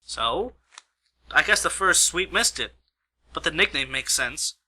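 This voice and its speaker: A man's voice: a little high, animated, measured pace, pushed and bright, slightly rough, exaggerated voice. A man playing an earnest, questioning investigator in an amateur radio mystery. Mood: pressing. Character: earnest, questioning male investigator, detective's partner. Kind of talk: amateur radio play acting.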